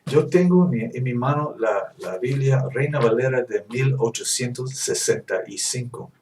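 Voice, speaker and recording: A man's voice: mid-range voice, normal speed, darkish tone, slight background noise. A man whose first language is English, preaching earnestly in Spanish with frequent restarts and self-corrections. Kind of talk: teaching sermon. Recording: echoey room, distant mic.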